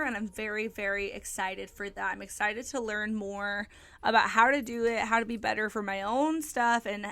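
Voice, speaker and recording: A woman's voice: medium pitch, fairly bright tone. A young woman hosting her own podcast, talking casually and upbeat, with frequent ums and likes. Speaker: podcast host. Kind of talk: casual solo podcast chat.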